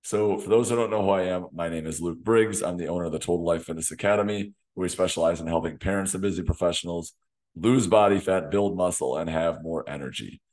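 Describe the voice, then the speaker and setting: A man in his thirties, a fitness coach hosting a solo podcast, slightly hoarse from losing his voice, talking in a direct coaching way in a small home gym on a desk mic. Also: low voice, fast talker, a little mellow. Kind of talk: solo podcast talk to camera. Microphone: desk condenser mic in front of him.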